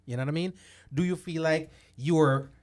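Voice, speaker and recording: A man's voice: a little high, normal speed, a little mellow. Chatty male interview host. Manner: frequent affirmations, stammered repeats. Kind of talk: casual interview talk.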